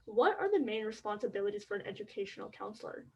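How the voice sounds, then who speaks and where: A woman's voice: mid-range voice, sing-song, fast talker, darkish tone, dull audio, a little hiss or hum. A young adult woman hosting an interview show in an upbeat, friendly way, saying "like" often. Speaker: podcast host. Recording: distant from the mic.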